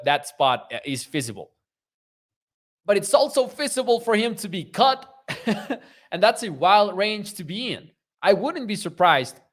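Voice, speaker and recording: A young man from Mexico with a Mexican Spanish accent, hosting a live sports talk stream and reading viewer comments aloud in a conversational way. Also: fairly high pitch. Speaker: podcast host.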